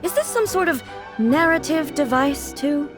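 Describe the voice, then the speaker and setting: A woman voicing a stiff, formal female divine ruler in a video game, speaking in a calm, measured, literal-minded way. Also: high voice. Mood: earnest. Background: game music.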